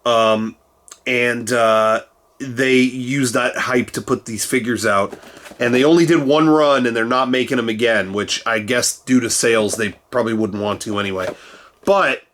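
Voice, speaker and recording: A man's voice: steady tone, a little slow, fairly bright tone. A man in his thirties hosting a casual chat from a cluttered room at home. He rambles and jokes, and he is getting over a cold. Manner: rambling and jokey, with sudden jumps in pitch. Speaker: host.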